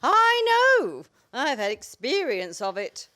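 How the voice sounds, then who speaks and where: A woman's voice: high-pitched, huge pitch swings, slow, sharp tone, exaggerated voice. A woman acting a domineering older mother-in-law in a radio play farce, imperious and scornful. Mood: scornful, wrathful.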